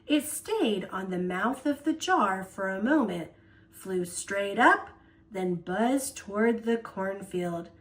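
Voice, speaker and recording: A woman reading a children's picture book aloud as storyteller, with expressive delivery and playful emphasis. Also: medium pitch, takes it slowly.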